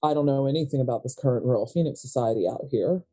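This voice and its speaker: A woman playing a shrewd, manipulative sister from an occult family in a tabletop horror game, with a measured, pragmatic delivery. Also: extremely low pitch, warm tone. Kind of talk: improvised tabletop horror roleplay.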